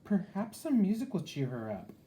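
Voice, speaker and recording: A man's voice: high-pitched, wildly swooping pitch, normal speed, warm tone, slight background noise, voice pushed up. A man voicing a timid, polite storybook dragon who speaks hesitantly and worries easily. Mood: worried.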